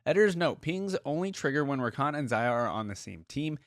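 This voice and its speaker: A man's voice: a little high, expressive, a little fast, fairly bright tone, no echo, studio quality. A man hosting a reaction video, giving casual commentary and thinking aloud as he goes.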